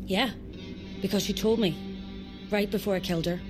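With loud music beneath, an actress plays a menacing female convict out for revenge in an audio drama. She is cold and deadpan, clipping her threats short. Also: medium pitch, normal speed, lightly acted. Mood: cold menace. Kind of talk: audio fiction acting.

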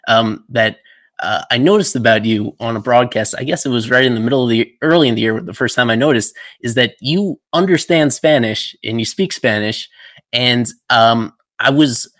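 A man hosting a podcast, asking long interview questions in a halting way with frequent ums. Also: mid-range voice, fairly bright tone, no echo. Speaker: podcast host.

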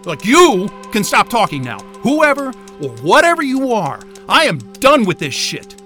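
Stranded polar worker in audio drama: high-pitched, volatile range, profane, urgent, exasperated. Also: male voice, sing-song, moderate pace, pushed and bright, soft background music, full character voice. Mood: furious, despairing. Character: panicked, sweary man stranded at polar station.

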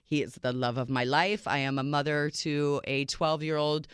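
Chatty woman radio co-host, smooth voice. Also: deep voice, fairly even intonation, moderate pace, no echo, clean studio sound. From Minneapolis, Minnesota. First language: Serbian. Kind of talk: casual radio talk.

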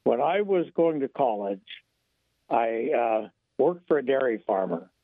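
An older man calling a radio talk show, his voice hoarse, telling a long story in a folksy, indignant way. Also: mid-range voice, expressive, a little slow, dry recording. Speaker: radio caller. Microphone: phone line. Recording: tinny phone line, dry.

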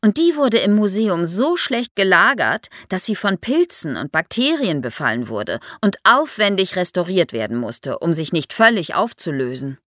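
A woman's voice: on the low side, very animated, normal speed, few pauses, a bit bright, no echo, studio quality. A woman explains history to young listeners as an expert guest, in a didactic way, posing questions as she goes.

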